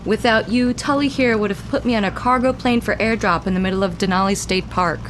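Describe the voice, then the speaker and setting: A woman's voice: medium pitch, a little fast, close to an everyday voice. An actress playing a wry, self-assured woman adventurer in an audio drama, with a dry, teasing manner. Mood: appreciative. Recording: clear boat and engine noise.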